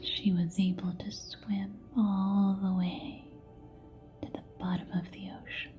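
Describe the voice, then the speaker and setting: A woman telling a story in a soft ASMR whisper, close to the microphone from ear to ear, leaving long pauses between short phrases. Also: mid-range voice, little pitch movement, highs cut off, soft background music. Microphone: close binaural microphone, ear to ear.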